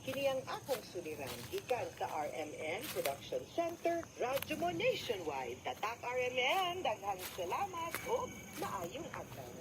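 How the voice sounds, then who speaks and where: A woman's voice: mid-range voice, huge pitch swings, moderate pace, few pauses, background noise. A woman with a Cebuano Filipino accent gives conversational legal advice as a radio host, explaining step by step and often adding tag questions.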